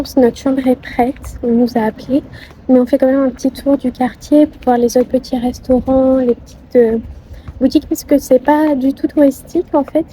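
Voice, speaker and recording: A woman narrating a casual travel vlog in a polite, soft-spoken, descriptive way. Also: high-pitched, slight background noise, poor audio. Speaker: vlogger.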